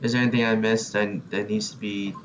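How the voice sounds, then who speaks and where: A man's voice: fairly low pitch, level tone, a little fast, a bit bright, lo-fi sound. A man talking casually as a player in a gaming voice chat. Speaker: player in a group bus-driving game. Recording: distant, noisy game voice chat.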